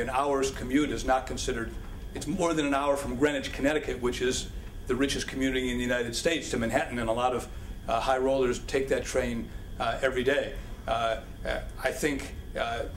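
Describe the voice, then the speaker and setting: A man answering audience questions at a public policy forum, halting and full of restarts. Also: mid-range voice. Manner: halting, many restarts and fillers. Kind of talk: public Q&A talk. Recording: echoey hall with background noise.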